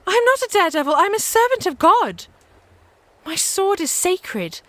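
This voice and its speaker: A woman's voice: very high voice, sing-song, normal speed, no echo. A woman in a radio play acting a fearless, devout young peasant soldier, speaking in an earnest, impassioned, declamatory way. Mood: fervent.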